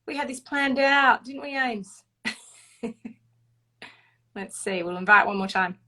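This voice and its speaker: A woman's voice: a little high, slow, pushed and bright. A woman, a fertility doctor, hosting a casual live-stream chat in a chatty, upbeat way with frequent laughs.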